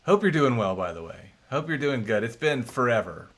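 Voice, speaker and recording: A man's voice: mid-range voice, big pitch swings, fairly quick, fairly bright tone. A man in his thirties, co-hosting a casual chat and reading viewer comments aloud in a laid-back, chatty way. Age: 30s. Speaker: co-host.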